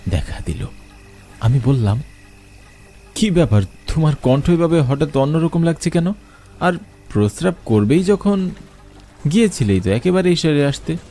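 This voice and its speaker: Young guy narrating a ghost story, warm dark voice, very animated delivery. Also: mid-range voice. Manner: expressive storytelling, rising in questions. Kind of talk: first-person horror story narration.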